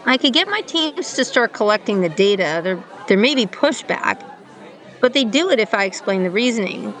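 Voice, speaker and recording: A woman plays a frustrated, thoughtful female director in a radio play. Her voice sits fairly high and her delivery is conversational and a little hesitant. Faint crowd chatter runs behind her. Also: big pitch swings, normal speed, a bit bright, poor audio. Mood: worried.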